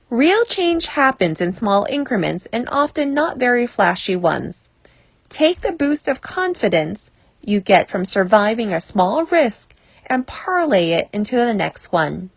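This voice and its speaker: A woman reading a self-help article aloud as scripted narration, in a measured, clearly phrased way. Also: medium pitch, no echo.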